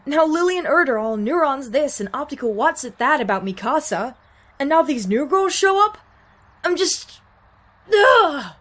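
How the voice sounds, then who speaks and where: A woman's voice: sits high, sing-song, moderate pace, a bit bright. A woman acting a blunt, sardonic survivor in an audio drama, with casual, naturalistic delivery. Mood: exasperated, angry. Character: blunt, sweary, sardonic adult female survivor.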